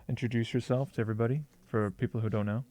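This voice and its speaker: A man hosting a casual interview in a laid-back, halting way, full of ums and you knows. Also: mid-range voice, fairly even intonation, warm tone. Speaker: host.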